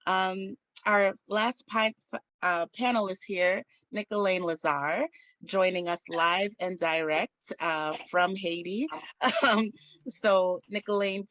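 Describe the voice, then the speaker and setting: A woman moderating a panel, measured and gracious. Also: lowish voice, fairly bright tone, no echo.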